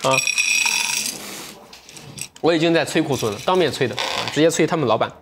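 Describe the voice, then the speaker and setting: A man's voice: a little high, brisk, noisy background. A man in his thirties hosting a livestream sale from a studio set. He chats with viewers in a playful, self-mocking way and sometimes drifts into reflective asides. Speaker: livestream sales host.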